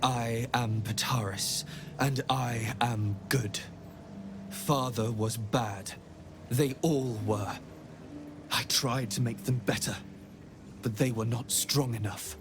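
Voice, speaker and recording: An actor voicing a menacing young alien boy in a video game, zealous and wounded, speaking in a cold, moralizing, deliberate way. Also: medium pitch, fairly even intonation, background noise, lightly acted.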